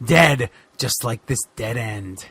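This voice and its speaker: A man voicing an exasperated, despairing boogeyman monster wrestler, gravelly and melodramatic, in a comic fan-fiction reading. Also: medium pitch, expressive, slow, a bit bright, no room sound, exaggerated voice. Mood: dejected. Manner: melodramatic, pleading.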